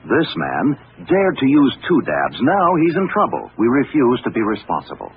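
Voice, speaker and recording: A man's voice: medium pitch, huge pitch swings, moderate pace, narrow, tinny audio, slight background noise. A man reading a vintage commercial as a mock-serious warning, on an old broadcast recording. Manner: grave, tongue-in-cheek warning. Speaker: commercial announcer.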